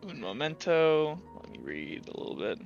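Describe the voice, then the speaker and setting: A man narrating a tabletop roleplaying game as game master, informal and halting, pausing to think. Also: on the high side, sing-song, vocal fry. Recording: slightly dull audio with faint music. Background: faint music.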